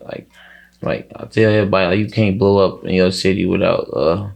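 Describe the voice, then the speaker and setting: A man's voice: deep voice, level tone, a little fast, gravelly, darkish tone. A young man with a Chicago accent talking casually as an interviewed rapper, rambling with plenty of slang and swearing. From Chicago, USA.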